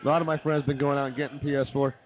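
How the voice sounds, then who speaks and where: A man's voice: mid-range voice, little pitch movement, racing, over music. A man hosting live comedy talk radio in a brash, informal way, with tinny sound and a busy crowd behind him. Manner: brash, informal, mildly profane. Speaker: radio show host. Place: live broadcast from a busy brewery. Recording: phone-like sound, loud bar crowd behind.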